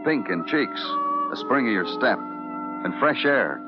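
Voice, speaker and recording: A man with an American accent playing a hard-nosed, wry New York police detective in an old-time radio crime drama, terse and deadpan. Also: medium pitch, takes it slowly. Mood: wry, brooding. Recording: muffled vintage radio recording, music behind.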